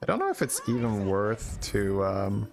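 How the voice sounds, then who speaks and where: A man's voice: lowish voice, huge pitch swings, moderate pace. A man from Belgium with a Flemish accent, whose first language is Dutch. He streams a game live, thinking his plans aloud and tagging them with "right?". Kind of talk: live gameplay commentary. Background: faint game music.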